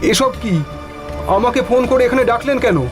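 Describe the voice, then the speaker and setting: A man's voice: very high-pitched, normal speed, over music, voice pushed up. A man voicing an earnest, frightened doctor in a horror cartoon, flustered and polite as he speaks. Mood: alarmed. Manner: flustered, polite, stammering in protest. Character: frightened, earnest, decent male doctor on a house call.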